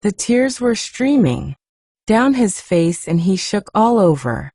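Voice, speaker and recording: Soft-spoken woman reading a kids' classic, slow, very dry close sound. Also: big pitch swings, no room sound. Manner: gentle, acts dialogue with raised pitch. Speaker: narrator.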